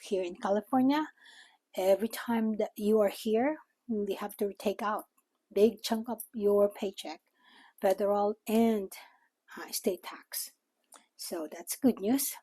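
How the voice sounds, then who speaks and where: Slow-talking older Filipino woman. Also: mid-range voice, dark tone, breathy edge, no echo. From Philippines. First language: Tagalog. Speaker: vlogger. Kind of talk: informal talk to camera.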